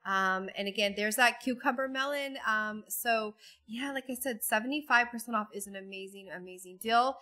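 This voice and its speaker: A woman's voice: on the high side, normal speed, pushed and bright. A woman walking through a busy store with a handheld phone, chatting casually as a vlogger while she keeps losing her voice, with frequent ums and little laughs. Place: busy retail store, indoors. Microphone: handheld phone camera.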